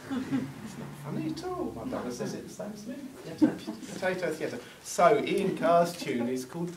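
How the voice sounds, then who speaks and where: A man's voice: sits high, wildly swooping pitch, moderate pace, a crowd in the background. A young man, a folk musician, telling a self-deprecating story between tunes with chuckles along the way. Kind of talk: casual stage banter between tunes. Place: small arts venue hall with seated audience. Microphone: far camera. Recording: roomy hall from far off, audience.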